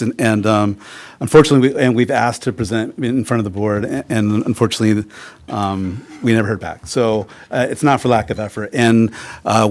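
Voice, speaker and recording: A man with a fairly low voice responds to a review board on behalf of a project. He talks in a halting way, filling pauses with uh and um and restarting his phrases. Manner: halting, many uhs and restarts. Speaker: project applicant representative. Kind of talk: addressing a public board meeting. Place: council meeting room.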